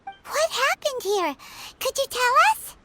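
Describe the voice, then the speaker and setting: A woman's voice: very high-pitched, sing-song, slow, bright, forceful tone, exaggerated voice. A woman voicing a tiny, chatty and curious fairy girl companion in a video game, who refers to herself in the third person. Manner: chirpy, talks about herself in the third person. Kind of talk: game voice acting.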